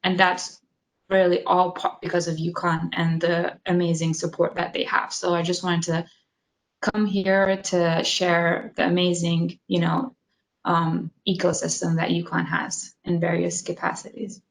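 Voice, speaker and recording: A woman, a doctoral graduate, speaking relaxed and unscripted as a panelist on a video call. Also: on the low side, a little slow, creaky, poor audio. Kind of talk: panel remarks.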